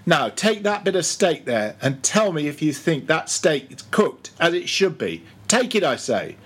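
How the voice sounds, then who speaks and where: Man playing a bossy, high-pitched ship's mate. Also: sing-song, normal speed, a bit bright, husky, full character voice. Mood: mocking, commanding. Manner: commanding, interrogating, mock-solemn. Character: bossy, mocking male ship's mate, badgering and pompous.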